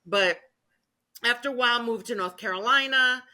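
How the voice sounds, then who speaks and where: A woman's voice: a little high, moderate pace, sharp tone. A woman in her fifties from Maine, a youth minister telling her life story earnestly in an interview. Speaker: youth ministry worker, guest.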